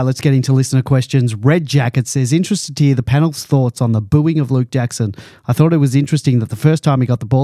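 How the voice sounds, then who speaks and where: Mellow Australian man hosting a podcast. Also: medium pitch, dry recording, studio quality. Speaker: podcast host. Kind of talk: casual sports podcast chat.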